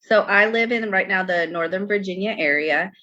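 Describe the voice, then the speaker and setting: A woman's voice: mid-range voice, moderate pace, bright, forceful tone, no echo. A middle-aged American woman giving casual, conversational answers as a podcast guest, on slightly dull audio.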